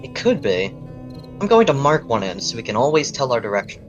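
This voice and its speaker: A man's voice: mid-range voice, a little fast, highs cut off, natural voice. A man playing a young elf stargazer, a loyal and curious friend, speaking earnestly in a dramatic comic dub. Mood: awed. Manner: expressive, earnest. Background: loud music.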